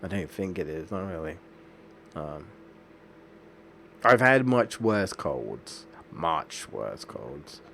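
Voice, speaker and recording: A low-voiced man, a bit stuffed up from a cold, talking to his viewers during a game stream. He rambles and often breaks off to restart his sentences. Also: a little hiss or hum. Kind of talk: casual chat while streaming a game.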